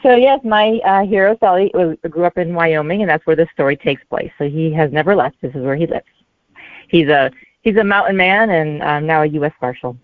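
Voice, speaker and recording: A woman in her forties answering casually as a guest author in an interview, with a halting, hesitant delivery. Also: sits low, no room sound. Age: 40s. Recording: narrow, tinny phone-like line.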